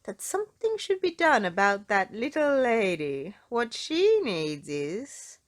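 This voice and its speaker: A kindly storybook doctor, a man acted out by a woman reader. He speaks heartily, with animated exclamations and a helpful, slightly scheming air. The delivery is unhurried. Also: fairly high pitch.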